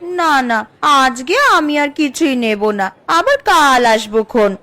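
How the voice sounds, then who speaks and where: A woman's voice: high voice, expressive, slow pace, pushed and bright, exaggerated voice. A woman voicing a competitive, emphatic housewife in a Bengali family cartoon. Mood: friendly, agreeable. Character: jealous, competitive young housewife, quick to relent.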